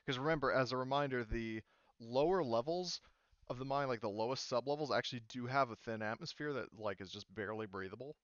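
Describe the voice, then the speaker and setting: A man's voice: medium pitch, brisk, a bit bright, dry recording, dull audio. A man running a tabletop roleplaying game as game master, explaining rulings with many fillers and restarts.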